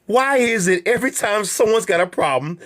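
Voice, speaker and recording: A man rants straight to his viewers, hammering each point with rhetorical questions and swearing. His voice sits high and swoops widely up and down as he repeats phrases for emphasis. It sounds like a solo host talking his mind on his own channel. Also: animated, brisk, a bit bright. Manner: heated and emphatic. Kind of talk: ranting monologue to viewers.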